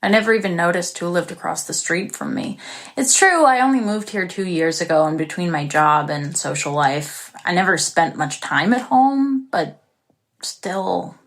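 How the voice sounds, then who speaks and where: A woman's voice: lowish voice, expressive, moderate pace. An actress playing an isolated, anxious young woman who recounts her story in an intimate, confessional way for an audio drama. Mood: reflective. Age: young adult. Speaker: actress performing a monologue. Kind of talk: dramatic first-person audio drama narration.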